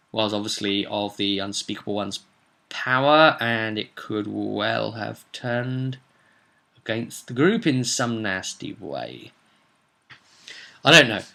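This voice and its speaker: A man, a game master, casually recounting a tabletop roleplay session in an animated, conversational way. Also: on the low side, unhurried, creaky. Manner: animated storyteller, conversational asides and tag questions.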